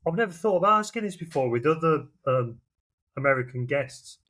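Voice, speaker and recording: A man's voice: fairly high pitch, lively intonation, a little fast, fairly bright tone. A British man hosting a podcast, putting questions in a casual, chatty style. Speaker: podcast host, interviewer. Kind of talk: casual chat.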